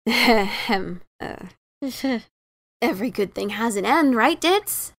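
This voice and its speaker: An adventurous pegasus pony author, played by a woman in a fan audio drama. The voice is high and forceful with a crackly edge. She is emphatic and defensive, and stumbles when things get awkward. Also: lively intonation, slow, exaggerated voice. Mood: awkward, pleading. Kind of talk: fan dramatic reading of a story.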